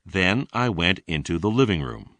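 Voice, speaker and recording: Low male voice, slow deliberate read-along narration, lively intonation, dry recording. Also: no room sound. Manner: deliberate, clearly enunciated teaching delivery. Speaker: narrator of an English lesson.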